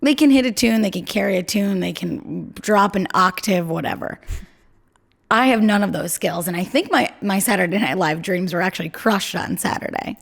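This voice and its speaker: A young woman co-hosting a podcast from home in casual chat, talking in a chatty, self-deprecating way with a low voice. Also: moderate pace, creaky. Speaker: podcast co-host.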